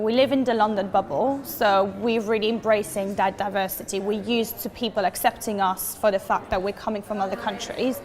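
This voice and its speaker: A woman's voice: medium pitch, long reverb tail. A woman in her twenties from Switzerland, answering interview questions in an earnest, enthusiastic way, leaning on emphatic words. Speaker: interviewee, advertising professional. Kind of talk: talking-head interview answers.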